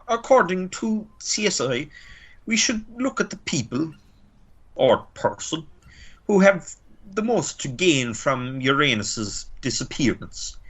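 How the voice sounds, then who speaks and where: A man's voice: medium pitch, fairly bright tone, breathy edge. A man with an Irish accent playing a complacent, dismissive small-town police officer in an amateur radio play. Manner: placating, hesitant, officious.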